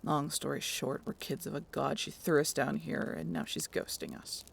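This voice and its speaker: Woman playing a cynical harpy, heavy creak, low voice, sarcastic, distrustful, audio drama, noisy recording. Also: steady tone, normal speed. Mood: bitter, cynical. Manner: curt, sarcastic, swearing. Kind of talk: audio roleplay acting.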